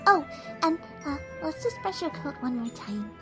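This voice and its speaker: Tiny high female voice, shy cartoon pony, soft-hearted, hesitant, lilting, music behind. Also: very animated, normal speed. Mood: gentle, doting. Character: shy gentle young female pony, animal lover. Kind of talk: audio drama acting.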